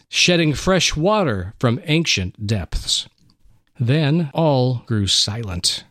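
Male narrator, dramatic reading: slow, deliberate, very lively pitch. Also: no room sound, clean studio sound. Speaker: dramatic reader, radio host.

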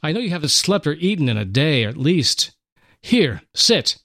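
A man voicing a young son, earnest and worried, in a much higher voice than his own. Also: big pitch swings, normal speed, a bit bright, no room sound, studio quality, heavily acted. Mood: caring. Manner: eager, concerned pleading.

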